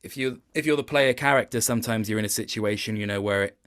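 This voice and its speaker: A man's voice: lowish voice, quick pace, fairly bright tone. A man speaking as a voice actor in a casual interview, thoughtful and self-deprecating, often feeling his way to the point.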